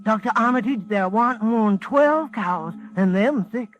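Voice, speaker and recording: An actor playing a curious, uneasy young farm villager in 1940s radio horror, speaking halting, awed rustic dialect. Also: male voice, high voice, animated. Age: young adult. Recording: dull vintage 1940s radio recording.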